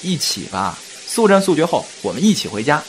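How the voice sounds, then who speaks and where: A man's voice: on the high side, sing-song, fairly quick, slight background noise. A man playing a devoted, eager young boyfriend in an audio drama, with a coaxing, doting manner. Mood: teasing, eager.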